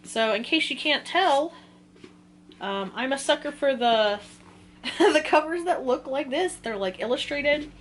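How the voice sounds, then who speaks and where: A woman's voice: sits high, animated, unhurried. Young woman chatting casually over background noise. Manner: giggly, informal, self-interrupting. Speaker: book vlogger. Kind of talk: casual chatty book list talk to viewers.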